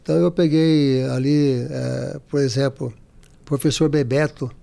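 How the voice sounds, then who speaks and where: Former footballer guest, Brazilian man in his sixties, gravelly and mellow, speaks haltingly with lots of fillers. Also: mid-range voice, fairly even intonation. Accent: Brazilian Portuguese. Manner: halting, many restarts and fillers. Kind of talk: casual interview.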